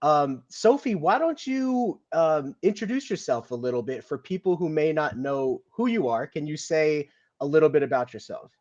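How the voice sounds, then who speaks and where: A man's voice: on the high side, expressive, normal speed, few pauses, darkish tone, lo-fi sound. A man hosting a live stream interview, friendly and encouraging as he asks questions. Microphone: video call.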